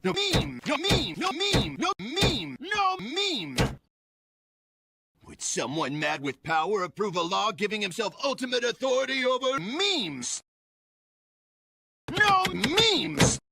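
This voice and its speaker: A man's voice: very high-pitched, big pitch swings, slow, creaky, background noise, exaggerated voice. A man voicing a cocky, dim-witted cartoon echidna drunk on power, speaking in pompous, emphatic declarations. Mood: bossy. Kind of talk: cartoon comedy acting.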